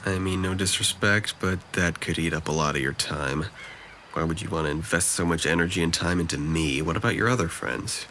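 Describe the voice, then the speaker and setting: A man's voice: low voice, fairly quick, pushed and bright, slight background noise. A man acting a depressed, guarded young man in an audio drama, speaking with dry, self-deprecating hesitance. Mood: guarded, doubtful.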